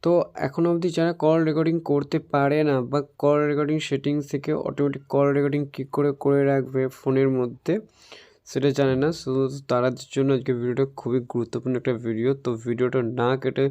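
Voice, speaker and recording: A man's voice: medium pitch, flat delivery, fairly quick, mellow, clear, resonant tone. A man giving an informal phone tutorial voice-over, explaining step by step in a repetitive, instructional way. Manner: instructional, repetitive, addresses viewers as friends. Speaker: tech tutorial YouTuber.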